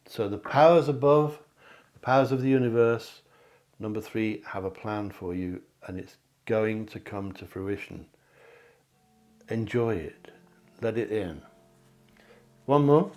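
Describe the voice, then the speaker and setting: Guy doing a psychic card reading, lowish voice, talks right to you, repeats himself for emphasis. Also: a little slow, long pauses, darkish tone, faint music behind. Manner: repeats phrases for emphasis, addresses listener directly. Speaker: psychic medium, card reader, host. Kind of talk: tarot reading addressed to viewers. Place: at home.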